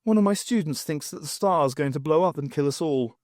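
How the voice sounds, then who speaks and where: A man's voice: fairly high pitch, fairly quick, darkish tone, no echo, clean studio sound, close to an everyday voice. A man voicing a wry, casual female professor in an audiobook.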